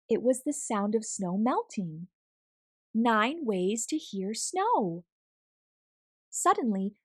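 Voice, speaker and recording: A woman reads a picture book aloud for children. Her delivery is expressive and deliberate, with a very lively melody and an unhurried pace. The sound is dry and close. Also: on the high side, no echo. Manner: animated, deliberate storytelling with acted sound words. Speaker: storybook read-aloud host.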